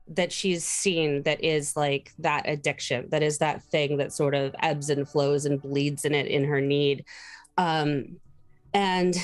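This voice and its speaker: A woman playing a tabletop role-playing game, informally thinking aloud as she narrates her character's actions with trailing pauses. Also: lowish voice.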